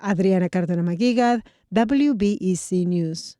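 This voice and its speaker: A woman news reporter narrating a scripted radio feature in a measured, clear way. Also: on the low side, dark tone, dry recording, clean studio sound.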